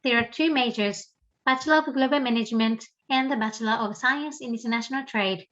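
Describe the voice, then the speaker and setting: A woman giving a measured, explanatory scripted narration for a university program video. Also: a little high, a bit bright. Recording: dull lo-fi sound.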